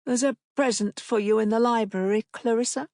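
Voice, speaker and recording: A woman's voice: on the high side, fairly even intonation, brisk, a little mellow, dry recording, clean studio sound, pitched above the performer's own voice, lightly acted. A woman voicing a downtrodden, fragile wife and mother who speaks in a tremulous, pleading way.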